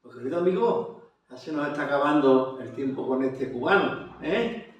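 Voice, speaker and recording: A man's voice: mid-range voice, expressive, moderate pace, very echoey, distant mic, a little hiss or hum, rough recording. A man with an Andalusian Spanish accent hosting an evangelical TV interview, chatty and affirming, with frequent amens. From Spain.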